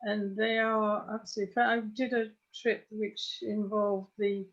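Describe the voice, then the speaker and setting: A woman's voice: mid-range voice, flat delivery, moderate pace. Older woman on a muffled call. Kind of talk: casual, knowledgeable chat on a group wine call. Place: home study, on a video call. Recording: muffled, dull video-call audio.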